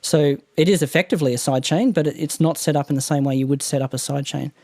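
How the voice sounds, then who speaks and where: Nasal australian man, dark tone. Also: on the high side, fast, no echo, clean studio sound. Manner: chatty, rambling, laughs often. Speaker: podcast producer guest. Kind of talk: casual technical chat. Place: home room treated with mattresses. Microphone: condenser studio mic.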